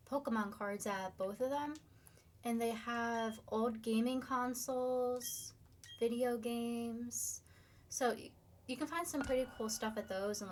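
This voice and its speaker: A young woman, a bit hoarse, chatting casually with her viewers on a live stream. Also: on the high side, a little hiss or hum. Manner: chatty, upbeat, reads viewer comments. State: slightly hoarse, losing her voice.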